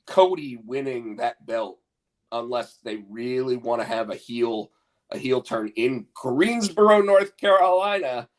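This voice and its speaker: A man's voice: medium pitch, animated, measured pace. A man co-hosting a casual talk show, stuffed up with a cold, talking in rambling bursts with lots of stammered restarts. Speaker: podcast co-host.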